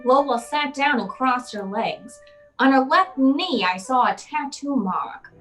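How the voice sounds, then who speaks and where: A woman's voice: high-pitched, animated, a little slow, fairly bright tone, soft background music. A woman playing a hardboiled private eye, narrating a noir radio play in a dry, wry, tough way.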